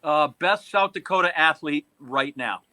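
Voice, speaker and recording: An American man co-hosting a podcast with casual banter and quick questions, delivered in a dry, teasing manner. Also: a little high, sharp tone. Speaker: podcast co-host.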